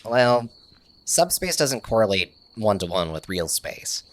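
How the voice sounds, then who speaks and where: A man's voice: on the low side, very animated, measured pace. A man playing an eager, nerdy tech tinkerer in an audio comedy, explaining things in a casual, slightly awkward way. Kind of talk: audio sitcom acting.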